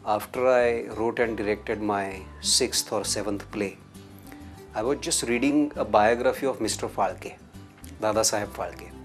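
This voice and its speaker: A man, a filmmaker, slowly and thoughtfully recalling how he came to make his first feature film. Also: lowish voice, faint music behind. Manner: slow, reflective. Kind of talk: interview testimony.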